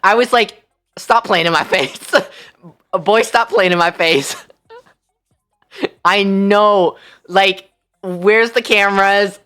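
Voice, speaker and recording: A woman livestream host telling a story casually, in an animated, incredulous, slangy way. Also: medium pitch, slow, long pauses, soft background music.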